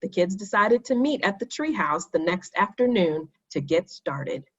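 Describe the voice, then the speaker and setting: A woman reading a children's story aloud, addressing young listeners in clear, instructive phrasing. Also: mid-range voice, lively intonation, moderate pace, lo-fi sound. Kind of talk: expressive read-aloud for children.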